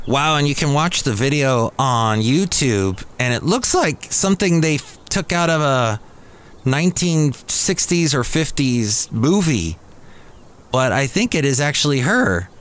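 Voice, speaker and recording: A man's voice: mid-range voice, lively intonation, unhurried, a bit bright. A man hosting a podcast in a café, chatting casually as he introduces new songs and reads emails aloud. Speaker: podcast host.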